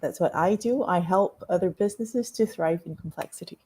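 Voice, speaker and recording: A woman's voice: fairly low pitch, fairly quick, a little mellow, breathy edge. A woman anthropologist speaking as a panel guest over a video call, articulate and reflective with some fillers. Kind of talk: conversational panel talk. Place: home in Singapore.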